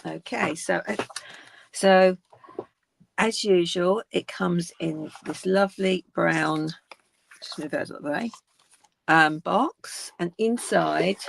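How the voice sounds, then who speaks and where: Slow croaky older woman demonstrating crafts. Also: lowish voice, a little hiss or hum. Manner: chatty, unboxing items one by one. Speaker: craft video host.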